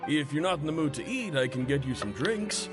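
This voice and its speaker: A cheerful, eager canteen cook. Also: male voice, fairly high pitch, very animated, brisk. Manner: friendly, chuckling service talk. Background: game background music.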